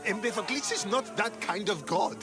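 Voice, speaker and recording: A man's voice: high-pitched, moderate pace, lightly acted. An actor playing an eager, pleading male devotee of a self-styled god. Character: excitable devoted male follower of a cult. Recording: game cutscene with loud music.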